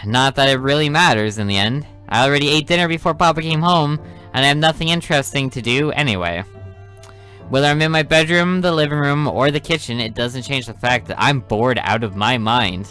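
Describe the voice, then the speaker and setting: A man's voice: mid-range voice, fairly quick, pushed and bright, clean tone, soft background music, natural voice. A man reading the thoughts and lines of a bored teenage girl who sneaks out at night. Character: restless, sneaky teenage girl, detective's daughter.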